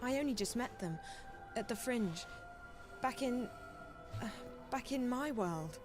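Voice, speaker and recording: A woman playing an earnest, bewildered young game heroine who speaks haltingly as she searches for words. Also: fairly high pitch, lively intonation. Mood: bewildered, explaining. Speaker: video game character. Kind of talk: video game cutscene acting. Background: background music.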